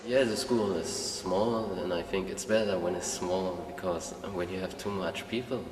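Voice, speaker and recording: A man's voice: low-pitched, steady tone, normal speed. A man speaking as an in an interview, hesitant and searching for words. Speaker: interviewee at a school. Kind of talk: interview answer. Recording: echoey hall, distant mic.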